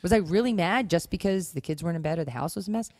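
Low-pitched woman co-hosting, close dry sound. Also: rapid-fire, a little mellow, no room sound. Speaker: podcast co-host. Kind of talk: casual conversational talk on marriage and parenting.

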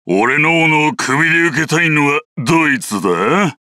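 Coarse bullying game brute, boastful, menacing, piercingly tense tone, high-set voice. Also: male voice, animated, a little fast, bright, forceful tone, no echo, studio quality. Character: ambitious thuggish male guard captain, coarse bullying brute. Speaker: video game voice actor. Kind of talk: video game character voice clips.

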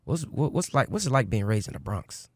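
Fast-talking hype radio host man. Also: medium pitch, sing-song, no echo, clean studio sound. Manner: hype, playful, slangy. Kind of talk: casual radio interview. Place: radio studio. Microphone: studio mic on boom arm.

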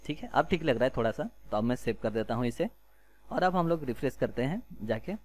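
A man's voice: medium pitch, animated, brisk, a little mellow. Indian man teaching a casual coding tutorial. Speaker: programming tutorial instructor. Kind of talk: informal step-by-step screencast tutorial.

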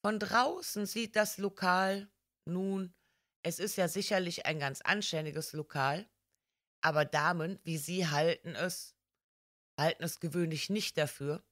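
A woman plays a mild, absent-minded priest in an audiobook story. He speaks slowly and hesitantly, in a gentle, courteous way, with a dry, close sound. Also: on the low side, dry recording. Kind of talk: audiobook character dialogue.

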